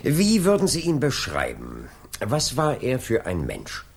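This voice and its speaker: An actor playing a politely persistent police inspector in a radio play, questioning insistently with drawn-out, swooping phrases and a creaky voice. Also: male voice, mid-range voice, huge pitch swings, exaggerated voice. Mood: probing. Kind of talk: 1980s German radio play acting.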